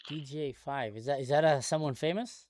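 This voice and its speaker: A man's voice: a little high, a little fast, no room sound. Sarcastic male stream host, dry close sound. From Israel. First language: Arabic. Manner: probing, calmly sarcastic questioner. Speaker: livestream host. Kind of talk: live video chat debate.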